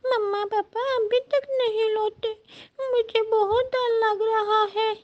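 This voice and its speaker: Woman as a very high, timid little girl chick. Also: steady tone, slow, dull audio. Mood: frightened. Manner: fearful, pleading. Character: small timid girl chick, anxious and clinging.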